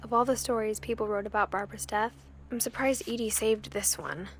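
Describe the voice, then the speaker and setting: A woman's voice: medium pitch, steady tone, a little fast, slight background noise, close to an everyday voice. A woman playing a reflective young woman who narrates her family history in a video game, intimate and understated. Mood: wistful, reflective. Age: young adult. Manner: wistful, understated.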